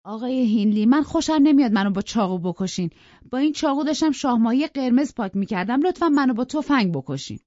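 Lady as a calm dry housekeeper, mock polite, slightly raised voice. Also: a little high, no echo, highs cut off, pitched above the performer's own voice, natural voice. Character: calm sardonic housekeeper woman.

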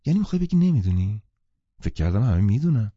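A man voicing a cool, worldly young woman who speaks in a brisk, knowing way, in a dull lo-fi recording. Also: fairly low pitch, lively intonation, dark tone, slightly rough, no room sound.

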